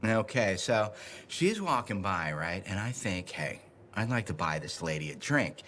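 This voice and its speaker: A man's voice: sits low, big pitch swings, measured pace, fairly bright tone, a little hiss or hum, full character voice. An actor playing a clueless, over-friendly, shameless man in a video game, rambling casually and sure of himself. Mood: boastful, storytelling. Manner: rambling, casual, self-assured. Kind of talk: video game character dialogue.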